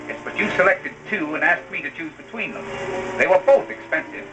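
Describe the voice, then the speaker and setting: An actor playing an exasperated, thrifty young working husband in a 1930s melodramatic film style. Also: male voice, a little high, fairly quick, close to an everyday voice. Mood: weary, defensive. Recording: dull old film soundtrack, music behind.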